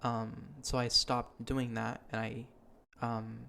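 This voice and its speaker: A man's voice: mid-range voice, level tone, takes it slowly, gravelly, pushed and bright, clear, resonant tone. A young man hosting a podcast, talking in a casual, earnest way with plenty of ums and restarts. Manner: earnest, rambling with many ums. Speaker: podcast host. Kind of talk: casual chat.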